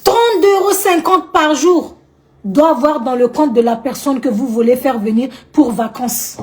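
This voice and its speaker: A woman's voice: high-pitched, moderate pace, darkish tone. An outspoken woman from Madagascar with a Malagasy accent, talking indignantly to camera in her vlog and repeating points for emphasis. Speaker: vlogger.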